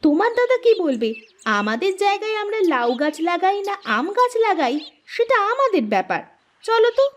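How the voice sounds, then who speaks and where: A woman voicing a feisty, sharp-tongued younger village wife in cartoon dialogue. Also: very high voice, big pitch swings, a little fast, slight background noise. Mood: defiant.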